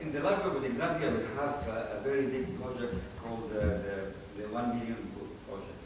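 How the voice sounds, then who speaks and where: A man in the audience speaks in a measured, informative way. He is far from the microphone in a very echoey room, with clear background noise. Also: mid-range voice. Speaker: audience member. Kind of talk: conference Q&A comment.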